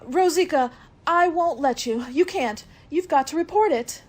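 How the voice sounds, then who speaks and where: A woman's voice: sits high, measured pace. A woman playing an earnest, fretful secretary loyal to her detective boss in a radio mystery. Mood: alarmed. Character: anxious, loyal young female secretary to a detective. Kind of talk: old-time radio mystery acting.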